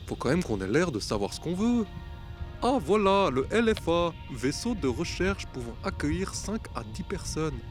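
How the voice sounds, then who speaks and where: A man acts out a chatty, confident but scatterbrained spaceship pilot. His delivery swings widely in pitch, casual and muttering. Music plays prominently behind this sci-fi audio comedy. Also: very animated, normal speed.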